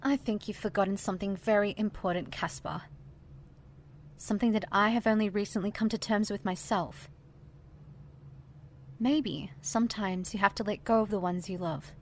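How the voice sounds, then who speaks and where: Woman playing a gentle but firm counsellor. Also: medium pitch, moderate pace, pauses often, a little hiss or hum, close to an everyday voice. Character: compassionate, firm woman counselling a lonely old foe. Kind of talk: scripted audio drama acting.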